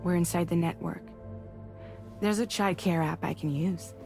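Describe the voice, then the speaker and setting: A low-pitched, composed woman speaks in a cinematic video game. She is measured and earnest, explaining and persuading with care. Music plays clearly behind her. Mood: reassuring. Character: composed, persuasive woman recruiting a freelance courier.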